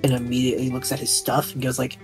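A woman's voice: rumbling low, monotone, quick pace, soft background music. A woman chatting casually as a tabletop game player, thinking aloud as she describes what her character does. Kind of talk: casual roleplay chat, describing a character's actions.

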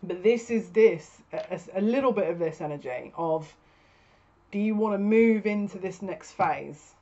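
A woman's voice: mid-range voice, unhurried, dark tone. A woman in her thirties giving a casual, spoken tarot reading to camera as a psychic reader. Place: small furnished room at home, indoors. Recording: dull lo-fi webcam sound.

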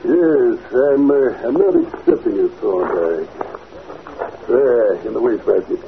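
An actor playing a pompous, bullying, scheming senator in a 1940s radio drama. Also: male voice, medium pitch, animated, unhurried, gravelly. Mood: flustered. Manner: pompous, bullying, stammers when cornered. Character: blustering, scheming senator, irritable and self-serving. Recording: noisy, tinny 1940s radio recording.

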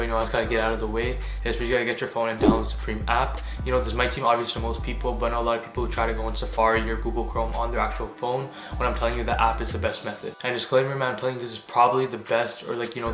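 Fast-talking young man in an echoey room. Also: mid-range voice, fairly bright tone. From Canada. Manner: quick and chatty, many fillers like "you know". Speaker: sneaker vlogger. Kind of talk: casual how-to talk to camera. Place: small home room with a desk.

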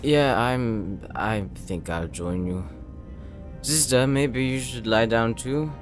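A gentle, tipsy older brother played by a man, unsure and soft-hearted. He speaks slowly at a medium male pitch in an audio drama, over clear background music. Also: lightly acted. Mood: concerned, gentle. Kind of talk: audio roleplay acting.

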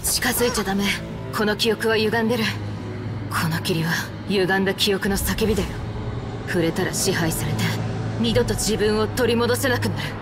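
A woman's voice: mid-range voice, normal speed, over music, lightly acted. A woman playing a cool, blunt young guardian in an anime game, composed and commanding. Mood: firm, warning. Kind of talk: Japanese anime game acting.

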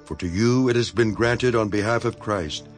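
Casting: male actor for earnest imprisoned apostle, lowish voice, measured and solemn, for dramatized Bible audio with musical backing. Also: faint music behind, close to an everyday voice. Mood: solemn exhortation. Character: Apostle Paul, imprisoned, earnest, devoted elder writing to his flock. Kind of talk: dramatized scripture reading.

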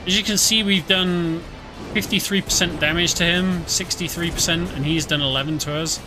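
A man's voice: a little high, normal speed, rough recording. A man casually commentating a video game stream, explaining the story as he plays. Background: game music.

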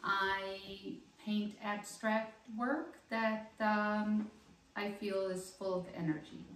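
A woman introduces herself softly and slowly. She is heard from a distance in an echoey home dining room. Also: mid-range voice, fairly even intonation, a little hiss or hum. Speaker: guest artist. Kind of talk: casual group chat. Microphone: far room camera. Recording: roomy and distant.

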